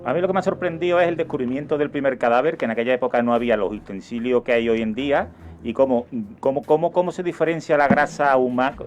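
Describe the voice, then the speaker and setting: A man's voice: mid-range voice, quick pace, a little mellow. A man talking casually on a radio panel, often repeating his words. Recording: dull lo-fi radio sound, faint music.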